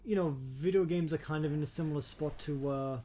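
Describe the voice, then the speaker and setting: A man co-hosting a casual podcast discussion, talking in a halting, hesitant way and often repeating himself. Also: a little high, warm tone, slight background noise. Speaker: podcast co-host.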